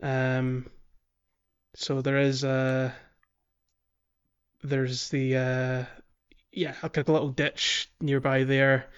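A man's voice: medium pitch, flat delivery, drawn-out words, long pauses, clear, resonant tone, dull audio. A man co-hosting a gaming podcast in casual chat, recapping things in a chatty, descriptive way. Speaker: podcast host.

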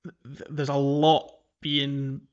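A man's voice: medium pitch, completely flat delivery, very slow, muffled recording. A man co-hosting a podcast in casual gaming chat, often repeating words as he thinks and chuckling as he goes. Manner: chuckles often while talking. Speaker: podcast co-host. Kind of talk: casual chat about a video game.

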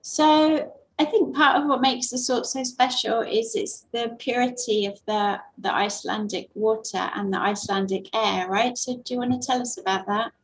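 A woman's voice: on the high side, fairly even intonation, normal speed, hardly any pauses, poor audio. A woman interviewer asking questions in a friendly, enthusiastic way.

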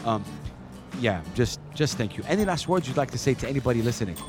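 Male radio host, energetic and encouraging, live booth with background music. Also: mid-range voice. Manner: upbeat, quick affirmations and encouragement. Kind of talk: casual live radio interview.

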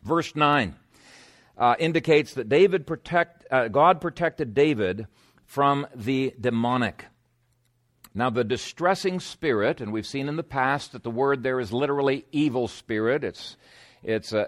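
A man's voice: mid-range voice, animated, measured pace. A man preaching an expository sermon as a pastor, earnest and emphatic, telling stories and posing rhetorical questions.

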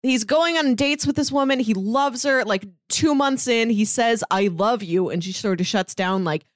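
A woman's voice: a little high, moderate pace, no echo, clean studio sound. A woman co-hosting a podcast, chatting casually through a review in a conversational way, peppered with "like". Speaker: podcast co-host.

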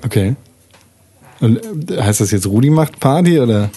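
A man's voice: mid-range voice, lively intonation, moderate pace, over music. Man, mid-range voice, game moderator, casual rule explanations, tabletop storytelling. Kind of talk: explaining rules and casually narrating a tabletop role-playing game.

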